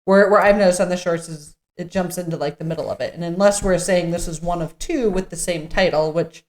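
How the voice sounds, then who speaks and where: A woman's voice: lowish voice, a little fast, darkish tone. A woman co-hosting a podcast, chatting casually through a script read-through in a blunt way, swearing freely. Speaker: podcast co-host.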